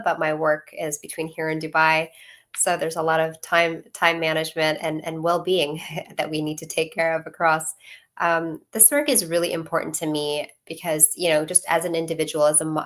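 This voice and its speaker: Low flat-voiced woman panelist. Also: normal speed. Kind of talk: panel discussion.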